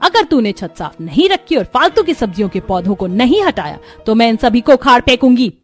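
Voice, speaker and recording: A woman's voice: on the high side, very animated, fairly quick, faint music behind. A woman voicing a stern, middle-aged mother-in-law in a cartoon moral story, emphatic and scolding.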